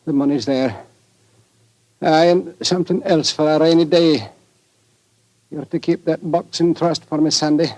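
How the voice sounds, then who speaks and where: A man playing a mellow dying Scottish baronet. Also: fairly high pitch, fairly even intonation, a little slow, pauses often, close to an everyday voice, poor audio. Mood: grave. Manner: broad dialect, emphatic. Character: stubborn dying Scottish baronet, wounded soldier, tender and proud. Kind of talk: 1940s radio acting. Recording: old 1940s radio broadcast.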